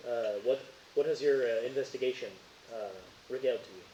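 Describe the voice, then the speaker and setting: A man acting as game master, narrating casually and bantering with the players in a teasing, excitable way. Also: medium pitch, a little fast, a little hiss or hum, rough recording.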